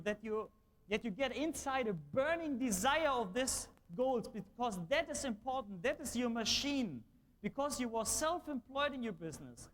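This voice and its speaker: German-accented man, very high voice, eager stage speaker. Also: a bit bright, a little hiss or hum. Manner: enthusiastic, slightly nonstandard English. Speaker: motivational speaker at a sales event. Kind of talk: stage speech. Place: large event hall on stage.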